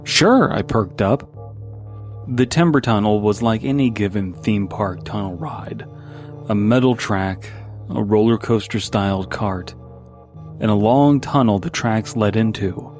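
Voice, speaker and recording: A man with a fairly low voice narrates a creepy story in the first person. It is a scripted horror reading, with music playing faintly behind him. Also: measured pace, a little mellow. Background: background music.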